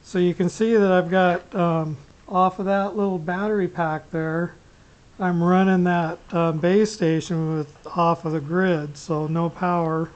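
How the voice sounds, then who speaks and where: A hobbyist talks through a radio setup in a casual, unscripted way. The voice sits at a middling pitch, and the explanations ramble and halt. Clear background noise and clicks run through the recording. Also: steady tone, measured pace, a little mellow, highs cut off. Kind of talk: casual unscripted gear demo.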